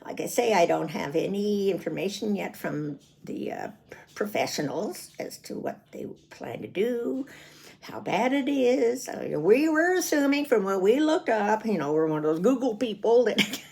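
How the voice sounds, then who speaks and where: Casting: American woman, seventies, chatty video diarist with a gravelly edge and lively, swinging intonation. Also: mid-range voice, normal speed. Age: 70s. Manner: chatty, rambling, prone to chuckling. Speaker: vlogger. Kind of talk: casual personal video diary.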